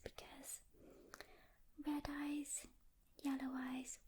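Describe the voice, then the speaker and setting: A woman speaking in a hushed, gentle ASMR whisper, unhurried, playing a calm demon hunter who talks directly to the listener. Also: sits high, noisy background. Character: calm experienced female demon hunter briefing a newcomer. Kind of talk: soft-spoken whispered ASMR roleplay.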